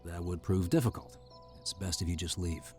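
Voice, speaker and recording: A man's voice: sits low, moderate pace, darkish tone, natural voice. A man playing a brusque, protective assistant in his fifties, curt and formal, in a screenplay reading with music underneath. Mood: skeptical, curt. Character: brusque, protective fifty-ish male assistant guarding his employer. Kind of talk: screenplay table-read acting.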